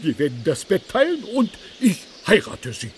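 Pompous high-pitched frog king. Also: wildly swooping pitch, moderate pace, slight background noise. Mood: demanding. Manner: pompous, formal courtly speech. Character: talking frog king, courtly, haughty, bargaining suitor. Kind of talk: children's radio play acting.

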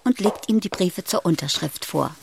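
A woman's voice: fairly low pitch, moderate pace, creaky, a little mellow, slight background noise. A woman playing a detached, ironic narrator in a scripted radio play.

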